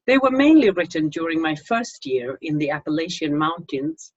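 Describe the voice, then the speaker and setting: A woman from Sweden with a Swedish accent and a husky voice, speaking in an unhurried, deliberate way as an author presenting and reading her own writing. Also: sits low. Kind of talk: informal introduction, then slow literary story reading. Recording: dull lo-fi microphone sound.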